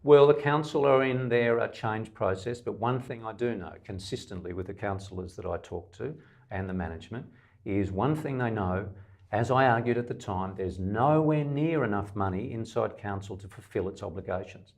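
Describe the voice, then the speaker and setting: A man's voice: on the low side, normal speed, darkish tone. An Australian man, a middle-aged independent candidate, answering interview questions emphatically in long lists, with some stammered restarts.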